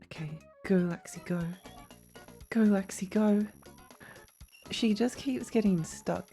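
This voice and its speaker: Woman, live-stream gamer, casual rambling chatter, laughs often, a little croaky. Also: mid-range voice, slow pace, mellow, soft background music. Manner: rambling, giggly, many fillers and restarts. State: slightly croaky voice.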